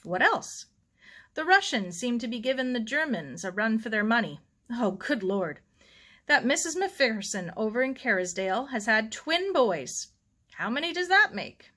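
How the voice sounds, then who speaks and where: A woman narrator puts on an older, opinionated storybook uncle who grumbles through his newspaper. The delivery is animated and gruff, with wide swings of pitch. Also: normal speed, heavily acted. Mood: reading aloud, exclaiming.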